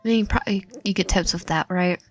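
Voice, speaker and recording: A woman reading a visual novel aloud in a halting way, often stumbling and correcting herself, with casual asides. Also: mid-range voice. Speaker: video game streamer reading aloud. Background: background music.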